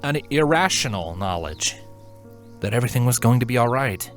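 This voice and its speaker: A man narrating scripted fan fiction in an earnest, dramatic storytelling manner with deliberate pauses. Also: medium pitch, expressive, faint music behind.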